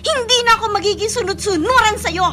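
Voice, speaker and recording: A woman's voice: very high voice, quick pace. A woman playing a bitter, defiant young mother who accuses the man in a radio drama.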